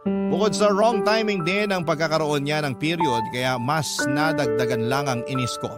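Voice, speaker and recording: Man acting as earnest boyfriend narrator over loud music. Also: a little high, normal speed, lightly acted. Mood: resentful. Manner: earnest, reflective. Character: lovelorn boyfriend confessing his story to host. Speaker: letter-sender narrating his love story. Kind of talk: confessional scripted radio drama narration.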